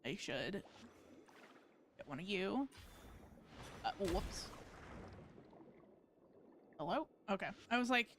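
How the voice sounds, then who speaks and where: A woman's voice: mid-range voice, big pitch swings, extremely slow, pauses often, a bit bright, slight background noise. A woman giving casual live-stream commentary on a game, rambling and thinking aloud as she plays.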